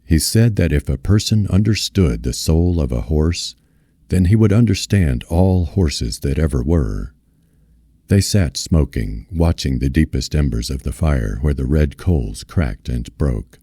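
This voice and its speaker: A man reading literary fiction aloud as a narrator, in a measured, solemn manner. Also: a bass voice, animated, dark tone, slightly rough, no echo, studio quality. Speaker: reader of a novel.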